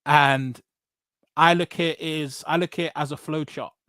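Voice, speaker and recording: An English man with a fairly high voice talks casually about his own experiences. He is blunt and emphatic, doubles back on phrases and tags points with "right?" The sound is dry and close. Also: steady tone, normal speed, sharp tone, smooth, no room sound. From England. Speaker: podcast guest. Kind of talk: casual personal storytelling in conversation.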